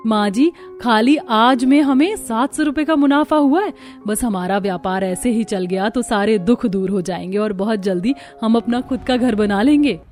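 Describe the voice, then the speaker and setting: A woman's voice: a little high, normal speed, a little mellow, natural voice. A woman voicing a hardworking, hopeful and respectful young daughter-in-law in a Hindi village cartoon. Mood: satisfied. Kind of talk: Hindi moral-story cartoon dialogue. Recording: music behind the voice.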